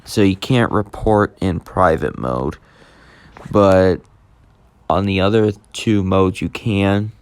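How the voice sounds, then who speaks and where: A man giving a casual, unscripted app tutorial, speaking plainly and haltingly as he hosts the demonstration. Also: low voice, clean tone. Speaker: YouTube host demonstrating an app.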